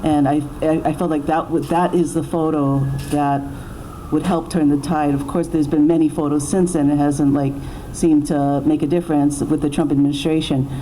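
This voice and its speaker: A middle-aged woman with a low-pitched, mellow voice talks informally as a panelist at a public forum. She speaks haltingly and circles back to restart her phrases. There is some faint noise behind her. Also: little pitch movement, poor audio. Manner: halting, self-correcting, with many repeated starts. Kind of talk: informal unscripted public talk.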